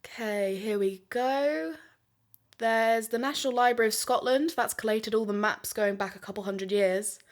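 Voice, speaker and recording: A woman's voice: fairly high pitch, normal speed, natural voice. A woman playing a supportive, practical friend and co-podcaster in an audio drama.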